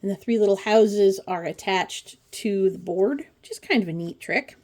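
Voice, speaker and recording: A woman's voice: mid-range voice, normal speed, darkish tone. A woman in her forties hosting a craft video, talking casually through a flip-through with chatty, wry asides. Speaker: craft channel host. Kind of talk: casual commentary on a pattern book flip-through.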